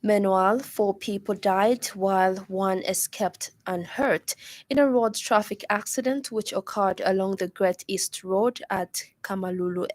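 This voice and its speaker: Female news reader, Zambian accent, formal and slightly halting, mid-pitched, very dry studio. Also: no echo. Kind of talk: formal radio news bulletin. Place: radio studio.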